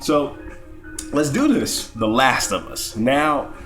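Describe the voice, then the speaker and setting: A man's voice: medium pitch, big pitch swings, slow, fairly bright tone. Brief: young male gaming vlogger, sick and sniffly, cursing and rambling, mid-range voice, faint music behind. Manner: rambling, profane, stammering restarts. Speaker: gaming YouTuber. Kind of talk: casual game review.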